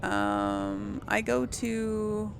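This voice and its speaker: A woman's voice: mid-range voice, sing-song, very slow, gravelly, slight background noise. A woman hosting a casual gaming let's play, thinking aloud in a hesitant, conversational way. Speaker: gaming video host.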